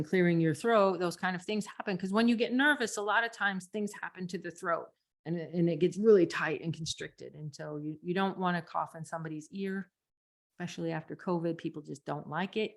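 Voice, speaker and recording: A woman co-hosting and coaching on a podcast in casual, giggly conversation, repeating words for emphasis. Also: lowish voice. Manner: giggly, laughs often between phrases. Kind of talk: casual podcast teaching chat.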